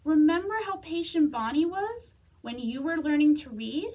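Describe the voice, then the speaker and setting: A woman reading a children's story aloud as a storytime reader, expressively, with dramatic pauses and whispers. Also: high-pitched, animated, a little mellow. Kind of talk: animated children's read-aloud.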